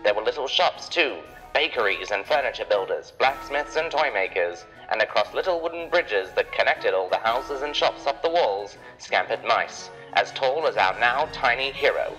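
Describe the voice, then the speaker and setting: Male narrator for children's audio adventure: forceful bright tone, mid pitch, dramatic reading, music bed. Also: animated, normal speed, hardly any pauses, rough recording.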